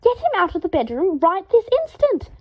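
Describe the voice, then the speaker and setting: A woman's voice: very high voice, sing-song, normal speed, lo-fi sound, pitched above the performer's own voice. A woman reading aloud, voicing a fussy, haughty young wizard man who shrieks in indignant fury. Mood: furious.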